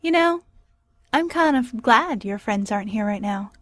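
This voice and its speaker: A woman's voice: fairly high pitch, lively intonation, moderate pace, fairly bright tone. A woman voicing a coy, flirtatious, lonely female dwarf in a comic cartoon fandub. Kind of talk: animated comic fandub acting.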